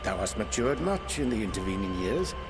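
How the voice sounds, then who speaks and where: An actor playing a wise, poetic sage in a game cutscene, speaking in formal archaic phrasing. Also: male voice, lowish voice, big pitch swings, brisk, background noise. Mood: reflective. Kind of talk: video game cutscene acting.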